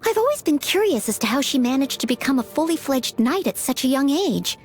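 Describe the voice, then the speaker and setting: A woman's voice: high voice, very animated, brisk, a little hiss or hum. A woman voicing an earnest, polite teenage maid and aspiring knight in a video game, eager to please. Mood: admiring, eager. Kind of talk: video game character voice lines. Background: background music.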